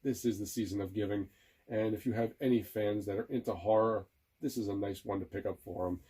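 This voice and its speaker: Low, husky man promoting a book. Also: steady tone, a little fast, mellow.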